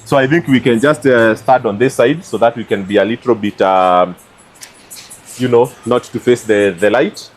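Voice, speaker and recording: A man's voice: mid-range voice, moderate pace. A man presenting his vlog outdoors beside a car, talking chattily with many fillers. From Kenya. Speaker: vlog host.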